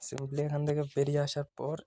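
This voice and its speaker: A man's voice: fairly high pitch, completely flat delivery, fairly quick, mellow, no echo. A man hosting a casual how-to video, giving step-by-step instructions and often repeating his phrases. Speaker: tutorial video host. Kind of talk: casual screen-recorded phone tutorial narration.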